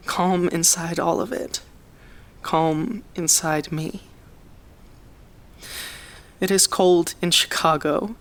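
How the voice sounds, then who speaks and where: A performer in an audio drama reading a spoken-word poem in a measured, quiet manner. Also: medium pitch. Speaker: poetry reader in audio drama.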